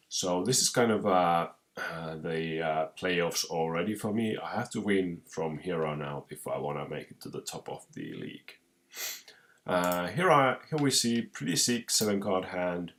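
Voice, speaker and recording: Sick, low-voiced Finnish man, slow. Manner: halting, with many pauses, restarts and throat clearing. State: sick with a cold. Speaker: player commentating his own card game match. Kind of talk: casual gameplay commentary.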